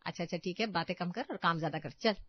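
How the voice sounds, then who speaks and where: A woman's voice: on the low side, fast, slightly rough, no echo, highs cut off. A woman playing a bossy, haughty housewife in a story, scolding and plain-spoken. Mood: huffy. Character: bossy middle-class housewife, skeptical employer of a maid.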